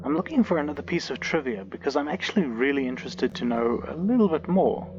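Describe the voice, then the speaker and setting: A man's voice: a little high, big pitch swings, fast talker, dull audio. A man giving casual, chatty playthrough commentary on a game, with mock-scolding asides. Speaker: video game reviewer, player. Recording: loud game music behind voice.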